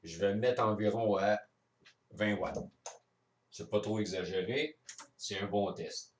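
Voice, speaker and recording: A man's voice: lowish voice, a little slow, slight background noise. A man with a Quebec French accent explains things step by step in a casual hands-on demonstration, as an amateur radio operator. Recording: roomy echo, distant microphone.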